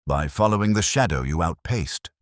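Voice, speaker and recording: A man playing a grave, calm, commanding Victorian detective, speaking in a measured, quietly incisive way in an audio mystery. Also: deep voice, no echo, studio quality.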